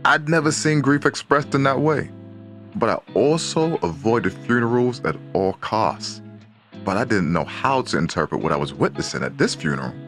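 A man's voice: medium pitch, animated, normal speed, fairly bright tone, soft background music, natural voice. A man playing a laid-back, blunt husband in casual drama dialogue and reflective narration.

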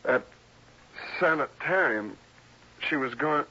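Talkative young man, vintage radio drama: higher-set voice, forceful and slightly rough, hearty delivery, dull broadcast sound. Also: expressive, slow pace, heavily acted. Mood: candid. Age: 20s. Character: hearty, talkative, candid nephew in his twenties. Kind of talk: old-time radio drama acting.

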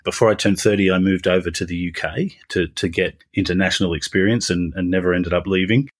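A deep, slightly husky voice belongs to an Australian man in his forties. He talks through his points in a measured, explanatory way and restarts phrases as he goes. He comes across as a business guest on an interview podcast. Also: fairly even intonation. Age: 40s.

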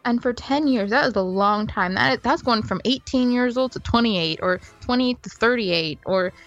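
A woman's voice: fairly high pitch, moderate pace. Chatty young woman, muffled audio. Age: 20s. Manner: chatty and reflective. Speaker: podcast guest. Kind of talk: casual podcast chat. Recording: muffled lo-fi audio with faint music.

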